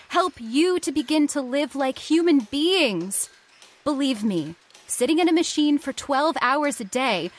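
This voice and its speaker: Woman acting an impassioned, high-voiced idealistic heiress. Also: a bit bright. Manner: pleading, urgent, theatrical. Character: impassioned idealistic young heiress of island factory. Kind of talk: old-time radio horror acting.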